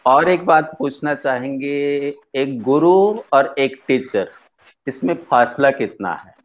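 A middle-aged man interviewing a guest over a video call, asking questions haltingly with many short acknowledgments. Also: mid-range voice, animated. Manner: halting, frequent "haan" acknowledgments. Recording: dull lo-fi video call audio.

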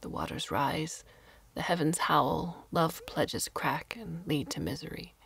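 A woman voicing a tiny, grieving old lacemaker who lives alone, speaking in a hushed, sorrowful near-whisper. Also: low-pitched, big pitch swings, unhurried, vocal fry, slightly rough, no echo, exaggerated voice. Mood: grim.

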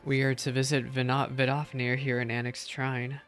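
A man's voice: mid-range voice, level tone, moderate pace, fairly bright tone. A young adult man streams a video game with casual commentary. He talks in loose, offhand asides while music plays in the background.

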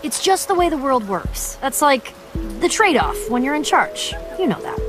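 A scrappy young warrior woman from an animated game. Her voice is high and lively, casual and candid, over a musical score. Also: normal speed, over music. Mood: teasing, casual. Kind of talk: animated video game voice acting.

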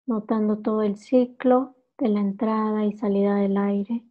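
A woman leading a guided meditation, calmly giving instructions in short, unhurried phrases. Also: medium pitch, narrow, tinny audio.

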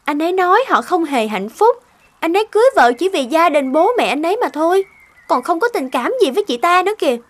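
An actress voicing a young female office worker in an animated cartoon, with a coy, expressive way of talking. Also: very high-pitched, quick pace. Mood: insistent.

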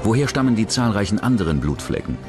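A man's voice: lowish voice, very animated, fast talker. A man narrating a scripted true-crime documentary. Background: background music.

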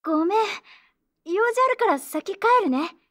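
A woman playing a cheeky, lively young girl in a Japanese audio drama. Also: extremely high pitch, moderate pace. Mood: lively, teasing.

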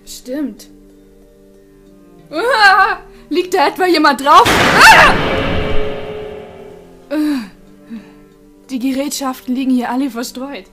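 A woman's voice: high-pitched, takes it slowly, pauses often, music in the background. A woman playing an exasperated, nervous teenage girl in an anime audio drama, with a nagging big-sister manner. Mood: startled.

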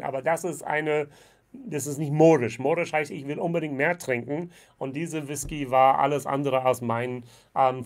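Amerikaner, der lebhaft über Whisky plaudert. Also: male voice, fairly high pitch. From United States. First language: English. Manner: chatty, frequent fillers, gestures with bottles. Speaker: whisky vlogger. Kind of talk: casual spoken review. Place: indoor room with shelves of whisky bottles. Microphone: webcam at desk.

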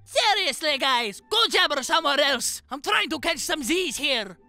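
A woman's voice: sits high, animated, normal speed, pushed and bright, soft background music, exaggerated voice. A woman voicing a small, sleepy, grumpy cartoon bat with a snippy, scolding manner. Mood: annoyed. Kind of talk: comic dub cartoon acting.

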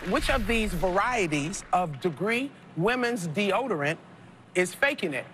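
A woman in her thirties hosting a TV game show, playful and quick with slang and jokes. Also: medium pitch, some chatter behind, a little hiss or hum. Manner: playful, lively, Southern-tinged slang like y'all. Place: large studio set of a supermarket. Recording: TV broadcast over loud music. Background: loud game show music.

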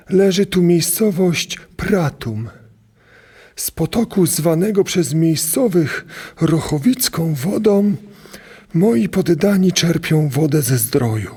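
A male actor as a medieval duke on his deathbed in an audio drama. The voice is high, gravelly and raspy. He speaks slowly and gravely, with strain in every halting phrase. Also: a little mellow, full character voice. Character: dying medieval duke, solemn, giving his last will.

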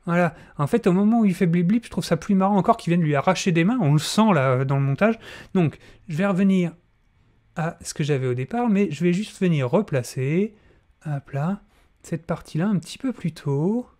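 A man's voice: sits high, lively intonation, normal speed, darkish tone. A middle-aged man hosting an informal spoken tutorial, close to a desk microphone in a small room. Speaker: audio fiction tutorial host. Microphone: close desk microphone, wearing headphones.

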